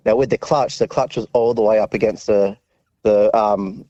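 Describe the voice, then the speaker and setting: A man's voice: fairly low pitch, steady tone, normal speed, mellow, no room sound. A man, a radio caller telling a casual anecdote and explaining it step by step. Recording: muffled, dry phone-in line.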